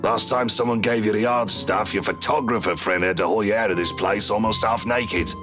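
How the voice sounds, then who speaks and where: A man voicing a gruff, blunt middle-aged barman who teases in colloquial game dialogue. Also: on the low side, fairly even intonation, brisk, natural voice. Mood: teasing, frank. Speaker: voice actor in a visual novel. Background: background music.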